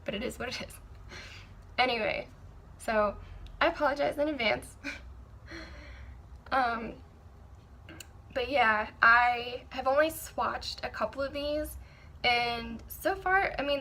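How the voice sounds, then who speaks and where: A young woman with a raspy, sore throat, chatting candidly through a makeup review with frequent ums and restarts. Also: sits high, slow pace, pauses often, bright, forceful tone. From Maryland, USA. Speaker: beauty vlogger. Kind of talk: casual product review.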